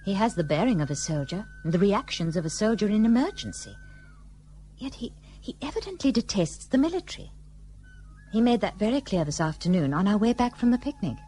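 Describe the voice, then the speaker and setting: Lady acting a proper thoughtful raj wife, lively pitch, radio play, slight hum in the background. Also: fairly quick, lightly acted. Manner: genteel, considered, earnest. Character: perceptive, polite British colonial wife.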